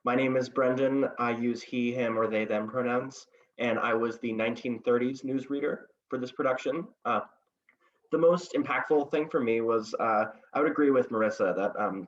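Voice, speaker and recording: A man speaking as an actor on a panel, reflecting in a halting, hesitant way with many pauses. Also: on the low side, dull audio. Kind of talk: reflective talkback remarks.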